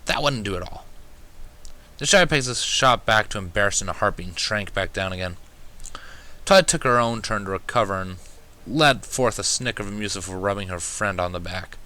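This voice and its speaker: Bright, forceful low-voiced male story reader. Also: fairly quick. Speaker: fan fiction reader. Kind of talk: scripted first-person story narration.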